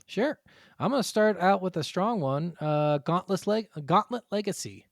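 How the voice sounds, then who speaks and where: Blunt, sweary man with a higher voice. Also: lively intonation, normal speed, gravelly, no echo, clean studio sound. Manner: blunt, profane, opinionated. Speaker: podcast host. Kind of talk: casual banter about video games.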